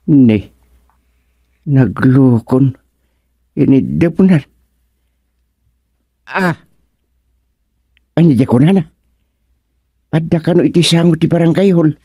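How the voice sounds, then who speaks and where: An actor playing a flustered, slow-witted village man in an Ilocano radio comedy, stammering and exclaiming in an Ilocano accent. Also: a little high, dark tone, husky, dry recording, clean studio sound. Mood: alarmed.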